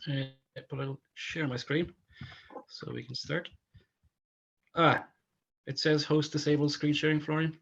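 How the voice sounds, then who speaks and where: A man's voice: on the high side, fairly even intonation, a little slow, creaky, pauses often, a bit bright, husky. A man giving an informal technical presentation on a video call, often restarting his phrases as he goes. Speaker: technical presenter, DevOps consultant. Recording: dull lo-fi video call audio.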